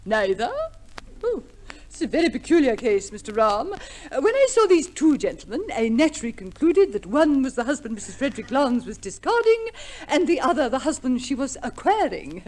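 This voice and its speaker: A woman's voice: high voice, very animated, normal speed, a little mellow. An actress playing a prim, chatty lady of affected refinement in an old radio comedy play. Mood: prim, curious. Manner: affected refinement, coy, garrulous. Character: genteel, fussy, chatty professional divorce co-respondent lady, prim. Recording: vintage radio play recording.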